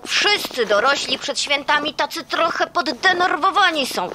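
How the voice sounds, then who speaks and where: Casting: cheerful small male elf, excitable, very high lively voice, children's radio play, faint noise. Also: normal speed. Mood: chatty, reassuring. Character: chatty little boy elf, eager and cheerful. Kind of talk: children's audio drama acting.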